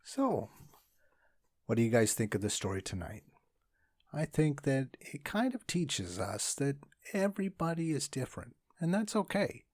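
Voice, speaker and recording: A man reading a children's story aloud as narrator, with expressive, deliberate storytelling. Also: medium pitch, no echo, studio quality.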